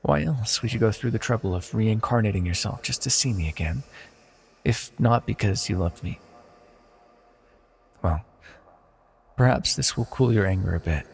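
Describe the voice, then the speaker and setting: A man playing an immortal banished villain sorcerer in a fantasy audio roleplay, speaking softly and formally with condescending, possessive tenderness and a gravelly, husky voice. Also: on the low side, lively intonation, normal speed, long pauses, heavily acted. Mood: proud, smug. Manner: soft-spoken, condescending, theatrically formal. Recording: long hall-like reverb.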